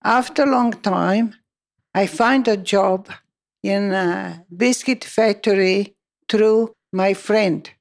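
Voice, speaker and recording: A woman's voice: medium pitch, takes it slowly. An older woman recounting her working life in an oral history interview, speaking hesitant, broken English.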